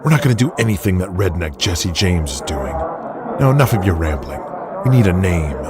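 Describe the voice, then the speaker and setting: A man's voice: low-pitched, big pitch swings, brisk, very noisy. A man playing a domineering, vulgar, grandiose wrestling company boss in an audio drama, speaking in a commanding, scornful, emphatic way. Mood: impatient.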